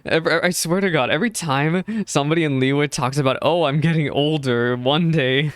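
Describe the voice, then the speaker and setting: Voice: mid-range voice, moderate pace, smooth. A game streamer giving casual, chatty reaction commentary at a close mic, often echoing lines aloud. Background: faint game music.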